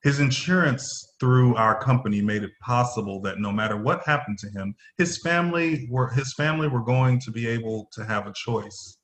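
A man playing a stern, formal middle-aged company executive in a play reading, halting and stumbling over his phrases. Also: medium pitch, natural voice. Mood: formal, explaining. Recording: roomy echoing voice.

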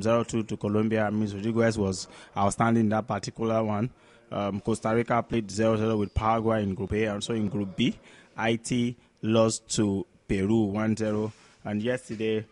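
A man co-hosting a sports talk show, running through scores and news in a halting way with repeated words. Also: lowish voice, darkish tone. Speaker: co-host, sports pundit.

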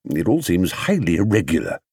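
A man voicing a proper, easily embarrassed husband in a children's storybook, halting and doubtful. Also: fairly high pitch, wildly swooping pitch, darkish tone, slightly rough, dry recording, studio quality, voice pushed up, exaggerated voice.

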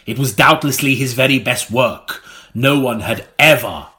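A man narrating an audiobook in a theatrical, melodramatic style, with dramatic pauses. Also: mid-range voice, sharp tone.

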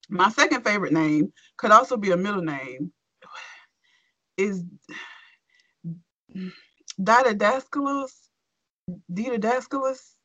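A woman's voice: medium pitch, no room sound. A woman co-hosting a talk show, reading a forum post aloud and then ranting with lots of swearing. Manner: expressive, swearing, animated rant. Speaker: podcast co-host.

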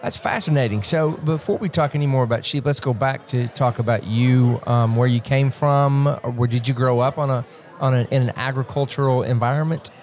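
A man's voice: medium pitch, fairly quick, a little mellow. A man hosting interviews, encouraging his guests, often stumbling over and restarting his questions. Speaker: interviewer host. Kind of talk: casual interview.

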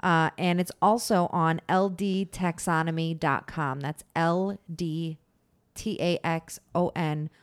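A woman's voice: low-pitched, fairly even intonation, takes it slowly, clear, resonant tone. A woman co-hosting a podcast in casual chat, with a soothing voice and a self-deprecating, laughing manner. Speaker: podcast co-host.

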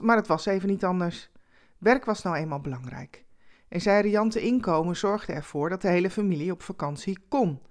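A woman narrating a scripted story expressively, with conversational asides and dramatic pauses. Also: on the low side.

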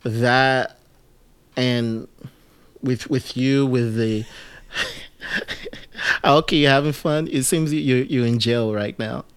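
A man hosting a casual interview podcast, rambling with many fillers and restarts and lively asides. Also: mid-range voice, slow, pauses often, clean tone, no echo. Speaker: podcast host.

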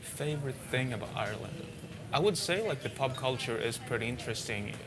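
A man's voice: mid-range voice, animated, fairly quick, music in the background. A young man from Sweden, a native Swedish speaker, answering interview questions informally and a little tentatively. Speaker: interview guest. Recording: noisy location with clear ambience.

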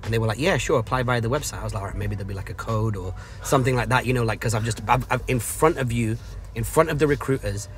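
A man's voice: mid-range voice, quick pace. Slangy, emphatic man telling stories over music. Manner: slangy, emphatic, acts out quoted exchanges. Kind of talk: casual storytelling conversation.

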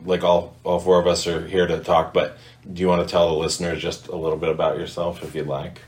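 A man's voice: low voice, little pitch movement, fairly quick. An American man in his thirties hosting a podcast in casual group conversation. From Ohio, United States. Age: 30s. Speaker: podcast host. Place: apartment room.

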